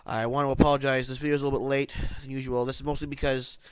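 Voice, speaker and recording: A man's voice: medium pitch, level tone, racing, clear, resonant tone, no room sound. A man with a nasal voice, congested from hay fever, giving a casual, rambling spoken review of a TV episode. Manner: rambling, many restarts and self-corrections.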